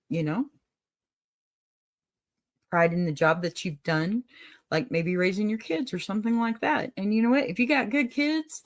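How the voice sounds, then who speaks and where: A middle-aged woman with a Texas drawl speaks straight to her livestream viewers, folksy and motherly, calling them honey and y'all. Her voice sits a little low for a woman. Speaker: advice show host. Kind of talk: warm encouraging livestream advice talk.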